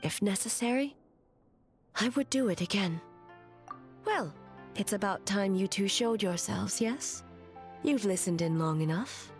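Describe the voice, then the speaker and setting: An actress voicing a stern, composed female ruler in a video game, speaking in a measured, formal and commanding way. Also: mid-range voice, lively intonation, soft background music. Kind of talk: English video game voice acting.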